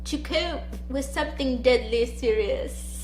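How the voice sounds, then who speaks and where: A woman's voice: high voice, unhurried, a little mellow, slight background noise. A woman online book reader chatting casually to her viewers. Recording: roomy echo with background music.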